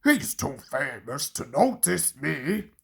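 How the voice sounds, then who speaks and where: A woman's voice: low-pitched, big pitch swings, slow pace, vocal fry, husky, voice pushed down, exaggerated voice. A woman voicing a shy, earnest, modest male steam engine in a children's storybook.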